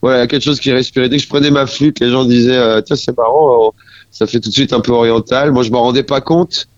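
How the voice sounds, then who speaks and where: A man in his forties from France, a musician speaking as a guest in a casual radio interview, halting and searching for his words. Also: mid-range voice, steady tone, dry recording, dull audio.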